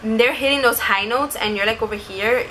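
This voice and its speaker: Young woman chatting casually to camera, bright forward voice, says like a ton, bit of background noise. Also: on the high side, brisk, rough recording. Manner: chatty, informal, uses "like" constantly. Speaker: vlogger. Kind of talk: casual storytelling with advice to camera.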